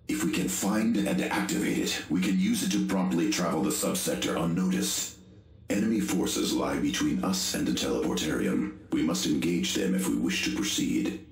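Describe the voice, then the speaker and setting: A man's voice: low-pitched, creaky, roomy sound. A man playing a grave, disciplined Space Marine veteran in a video game, speaking like a solemn tactician. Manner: solemn, tactical briefing delivery. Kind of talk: video game character acting.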